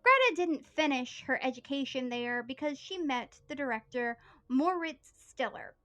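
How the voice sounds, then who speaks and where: Bright, high-voiced woman narrating history. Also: moderate pace, faint music behind. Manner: earnest, reads prepared text in a steady storytelling way. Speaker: history podcast host.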